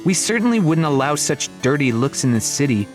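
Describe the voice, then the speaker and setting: Man putting on a high, prim young girl. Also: very animated, a little fast, faint music behind. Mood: haughty, offended. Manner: prim, indignant, talkative. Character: haughty, chatty young girl librarian, easily flustered. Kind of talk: storybook character acting.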